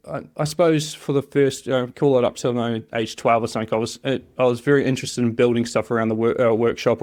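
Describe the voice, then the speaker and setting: A man's voice: mid-range voice, a little mellow. Kiwi guy chatting as a podcast guest, normal mid voice, rambly and hesitant, telling personal stories. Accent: New Zealand. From New Zealand. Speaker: interview guest, job shop machinist. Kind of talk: casual personal storytelling interview.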